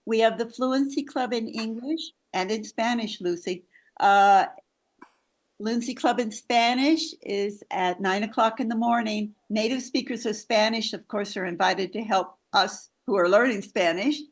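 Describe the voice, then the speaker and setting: A woman's voice: a little high, measured pace. A woman English coach hosting an online webinar, encouraging participants with praise over a video call.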